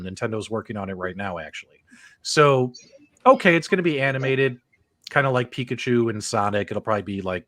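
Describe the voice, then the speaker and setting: A middle-aged man hosts a live-streamed chat in a loose, rambling way and keeps checking in with his co-hosts. His voice sits at an ordinary pitch. The sound is dry and close, with no room echo. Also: a bit bright. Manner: loose, rambling, asks the others questions. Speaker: podcast host.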